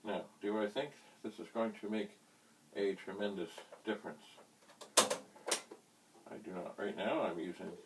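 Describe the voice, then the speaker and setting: A middle-aged man giving an unscripted hands-on demonstration, speaking in deliberate, broken phrases with quaint, slightly theatrical wording, recorded on a distant mic. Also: on the low side. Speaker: vintage computer hobbyist presenting a how-to vlog. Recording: distant mic, faint background hiss.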